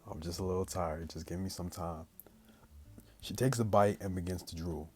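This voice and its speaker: A young man hosting a gaming video, reading visual novel lines aloud in a casual, halting way. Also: low voice, fairly even intonation, a little mellow, a little hiss or hum. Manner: halting, stumbling reader.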